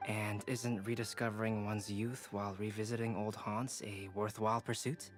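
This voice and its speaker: A man playing a calm, thoughtful young intellectual in a video game, composed, refined and courteous. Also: on the low side, moderate pace, soft background music, close to an everyday voice. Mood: reflective. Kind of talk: scripted video game dialogue.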